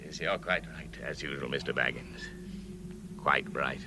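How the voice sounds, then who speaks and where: An actor playing a dignified, self-important older dwarf leader in a vintage radio drama, speaking formally and ponderously. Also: male voice, very deep voice, measured pace, gravelly, exaggerated voice. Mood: pompous, formal. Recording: noisy old radio recording.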